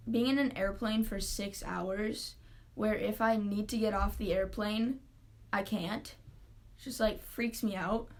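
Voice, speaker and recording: A woman talks as a guest in a loose podcast chat. She rambles easily and peppers her speech with fillers. Her voice sits at a middle pitch. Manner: chatty, many "like" fillers. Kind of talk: casual chat.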